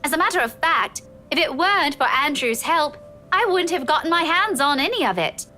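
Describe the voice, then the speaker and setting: An actress plays a frustrated young woman investigator in a visual novel game. Her voice is high and bright, exasperated and pressing. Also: animated, fairly quick, soft background music.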